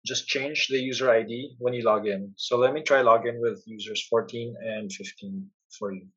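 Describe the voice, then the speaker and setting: A man giving a plain, instructional step-by-step narration as a software tutorial presenter. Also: mid-range voice, fairly even intonation, moderate pace, breathy edge, lo-fi sound.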